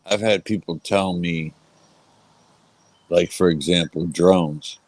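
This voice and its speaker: A man's voice: low-pitched, fairly even intonation, slow, a little mellow, no echo. A man chatting casually as a panelist, informal and repeating phrases for emphasis. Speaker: live chat panelist. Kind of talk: casual chat.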